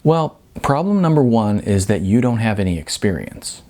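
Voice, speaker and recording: A man's voice: fairly low pitch, sing-song, moderate pace, dark tone. A man voicing a blunt, world-weary restaurant manager in an audiobook, explaining things frankly and emphatically. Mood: blunt, patient.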